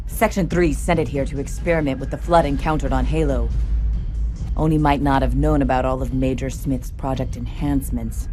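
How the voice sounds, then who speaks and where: A woman's voice: low-pitched, darkish tone, natural voice. A woman playing a tough, embittered marine sergeant in a motion comic, blunt and defiant. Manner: blunt, defiant, hard-edged. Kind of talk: dramatic voice acting in a motion comic. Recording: dramatic music behind the voice. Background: dramatic score.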